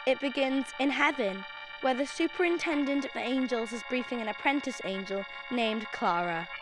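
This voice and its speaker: High-voiced young woman narrator over music. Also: moderate pace, rough recording. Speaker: radio drama narrator and announcer. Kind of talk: scripted storytelling narration. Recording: music behind the voice.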